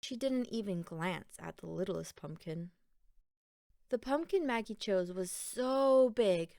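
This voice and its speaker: A woman narrating a children's picture book aloud in a deliberate, expressive storytelling manner. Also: medium pitch, gravelly, no echo, studio quality.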